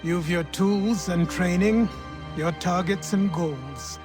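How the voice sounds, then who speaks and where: A solemn aging mentor. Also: male voice, high voice, music in the background. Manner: measured, solemn. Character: stern aging male mentor of a secret brotherhood. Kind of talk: video game cutscene.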